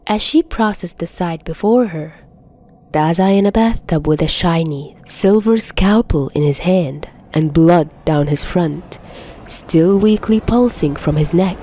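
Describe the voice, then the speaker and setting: A woman reading fan fiction aloud as narrator, in an expressive audiobook style. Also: on the low side, unhurried.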